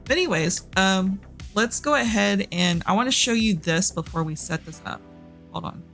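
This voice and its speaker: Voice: mid-range voice, expressive, moderate pace, fairly bright tone, faint music behind. A streamer from Texas with a Southern accent, chatting casually and rambling with many fillers while hosting a reading sprint. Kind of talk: casual livestream chat. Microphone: desk microphone, headset off.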